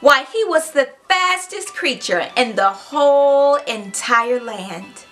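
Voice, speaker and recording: A woman in her thirties narrates a storybook for young children in a high, bright voice. Her delivery is expressive, teacherly and unhurried. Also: faint music behind. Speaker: children's read-aloud host. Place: furnished room indoors.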